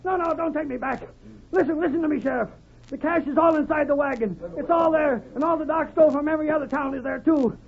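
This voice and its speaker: An actor playing a cornered, panicky outlaw with frantic rustic speech in an old Western radio drama. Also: male voice, extremely high pitch, fast, creaky. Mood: frightened pleading. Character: cornered, panicky male outlaw thief, pleading and threatening. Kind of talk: 1940s Western radio acting. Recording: muffled old radio recording, busy background.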